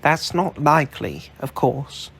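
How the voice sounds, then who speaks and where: Brief: no-nonsense young crewman, husky and slow, as a narrator puts him on. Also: on the high side, big pitch swings, a bit bright, voice pushed up, full character voice. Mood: matter-of-fact. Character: plain-spoken young airship crewman.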